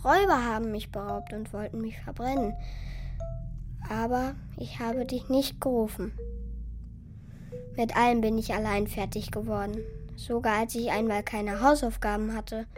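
A woman's voice: fairly high pitch, steady tone, unhurried, long pauses, a little mellow, soft background music, natural voice. A woman playing a small wooden puppet boy, brave, earnest and precocious, with a childlike lecturing manner in a children's radio play. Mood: despairing, pleading.

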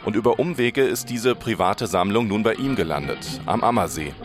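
Low-voiced man narrating a radio report. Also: expressive, moderate pace, music in the background.

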